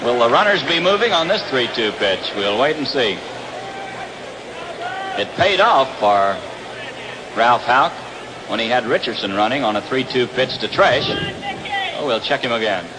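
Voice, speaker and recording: A male baseball announcer with an American accent and a medium-pitched voice gives live play-by-play. He is heard over a 1960s radio broadcast from an outdoor stadium, with distinct ambient noise running behind the commentary. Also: animated, moderate pace, long pauses. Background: loud ambient ballpark noise.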